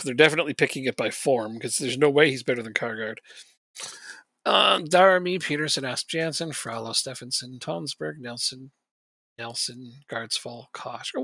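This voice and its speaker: A man's voice: medium pitch, sharp tone, no echo. A man chatting casually on a live stream while playing a football management game, rambling and joking and chuckling often.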